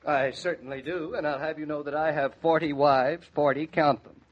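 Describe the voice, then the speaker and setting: A man's voice: on the high side, fairly even intonation, moderate pace, lightly acted. A vain, preening Swami in women's clothes clowned by a man. The delivery is campy and mock-grand, in the manner of 1940s radio comedy. The recording is dull and dated.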